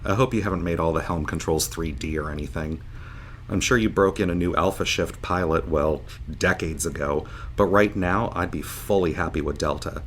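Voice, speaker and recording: A man's voice: low-pitched, normal speed, a little hiss or hum. A man acting in an audio drama as a jovial, bewildered starship pilot just woken from a long sleep, informal and earnest. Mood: hopeful. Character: jovial, bewildered starship pilot waking after seventeen years asleep.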